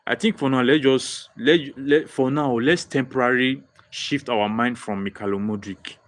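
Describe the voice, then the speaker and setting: A man's voice: mid-range voice, moderate pace. A young Nigerian man with a Nigerian accent hosting a live football fan stream, answering viewer comments and repeating his points with many restarts. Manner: excitable, repeats phrases, frequent restarts. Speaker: football livestream host.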